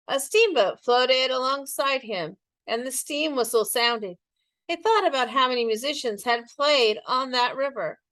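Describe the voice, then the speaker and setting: A woman with a somewhat high voice reads a children's story aloud like a schoolteacher. The sound is close and dry, and the delivery is expressive storytelling. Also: no room sound. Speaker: teacher reading a picture book aloud.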